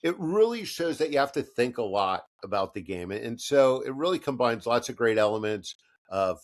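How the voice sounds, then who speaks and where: A middle-aged American man talks as a podcast co-host in a casual, wandering way. His voice sits in a middle pitch, and he often laughs at himself as he goes. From United States. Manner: rambling, self-deprecating, laughs often.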